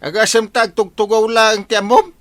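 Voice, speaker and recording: A man's voice: high voice, little pitch movement, moderate pace, a bit bright, no echo. A man with a northern Philippine accent playing a grumbling, henpecked village captain in an audio comedy, protesting and stammering. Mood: indignant, flustered. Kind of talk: comedy audio drama acting.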